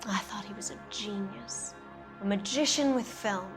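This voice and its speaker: An actress playing a cynical, guarded producer's wife in a 1940s noir video game, answering in a cool, bitter, evasive way. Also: female voice, medium pitch, unhurried, soft background music, close to an everyday voice.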